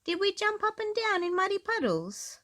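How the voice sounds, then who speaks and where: A woman voicing an excitable, curious, giggly little girl piglet from a children's storybook. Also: very high-pitched, normal speed, pitched above the performer's own voice, full character voice. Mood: excited, curious. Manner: exclamatory, giggly.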